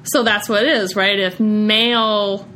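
A woman's voice: mid-range voice, fairly even intonation, unhurried, sharp tone, slight background noise. A woman co-hosting a podcast in casual conversation, chiming in with short affirmations and clarifying questions. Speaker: podcast co-host.

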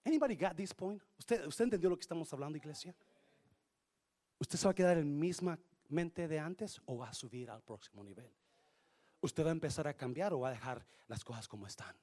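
A male pastor with a Spanish accent preaches with a high, lively voice that climbs and falls all over. He mixes Spanish and English mid-sentence and moves between hushed lines and full shouts. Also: brisk. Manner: swings from quiet to shouting. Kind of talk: bilingual sermon.

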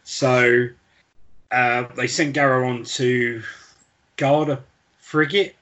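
A man's voice: mid-range voice, fairly even intonation, slow pace, a bit bright, rough recording. A man co-hosting a podcast, casually talking through a book with another person. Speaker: podcast co-host.

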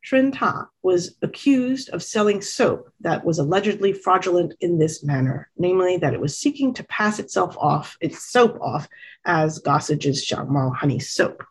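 A woman's voice: lowish voice, expressive, moderate pace, slightly rough. A woman lecturing on a history topic while pointing at slides, talking with many fillers and false starts. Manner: hesitant, many fillers and restarts.

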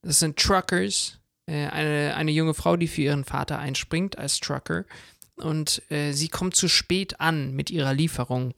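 Higher-pitched man with a creaky voice. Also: measured pace, fairly bright tone, no echo, studio quality. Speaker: podcast co-host. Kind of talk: casual chat.

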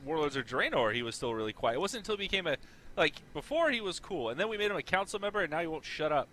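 Bright-voiced joking man hosting. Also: a little high, sing-song, rapid-fire. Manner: joking, sweary, teasing. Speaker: host of a gaming guild group show. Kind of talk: casual bantering interview.

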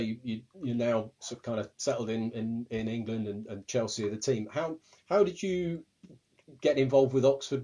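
A man's voice: mid-range voice, mellow. A man hosting a football podcast, asking questions casually with many fillers and repeated words. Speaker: podcast host.

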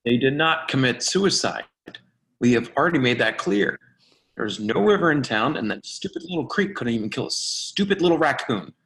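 A man's voice: mid-range voice, lively intonation, normal speed, fairly bright tone, low-quality recording. A man playing a bossy, dismissive office worker in a play reading, speaking in emphatic declarations. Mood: insistent.

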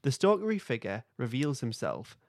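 A man's voice: medium pitch, very animated, moderate pace, no echo, studio quality. A man hosting a podcast, playfully reading a comic script aloud and breaking off to comment. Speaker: podcast host.

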